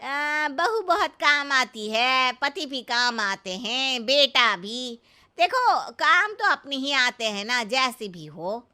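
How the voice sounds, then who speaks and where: A woman's voice: high voice, very animated, slow, bright, forceful tone, clear, resonant tone, heavily acted. A woman playing a brash, naive older village mother-in-law in a comic cartoon story, scolding and literal-minded in a folksy way. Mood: naive, confident.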